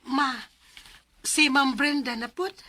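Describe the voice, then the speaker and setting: A woman acting an earnest, caring adult daughter in a radio drama, emphatic and expressive. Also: high voice, slow, slight background noise, heavily acted. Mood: alarmed.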